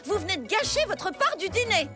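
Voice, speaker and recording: A woman playing a scolding, sarcastic grown-up in a children's cartoon, shrill and exclamatory. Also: sing-song, brisk. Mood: cross, sarcastic. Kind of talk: cartoon dub acting. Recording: music under the voice.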